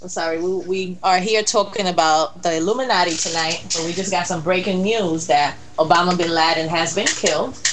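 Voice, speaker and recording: A woman's voice: lowish voice, brisk, few pauses, background noise. A Puerto Rican woman whose first language is Spanish, co-hosting and reading out viewer comments, laughing easily. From Puerto Rico.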